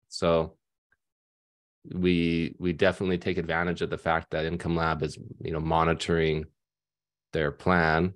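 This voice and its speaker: A deep-voiced man in his thirties speaks over a headset mic on a video call from a room at home. He explains casually and loosely, full of fillers and restarts. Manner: conversational with many fillers and restarts. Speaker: financial advisor panelist. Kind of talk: casual panel discussion.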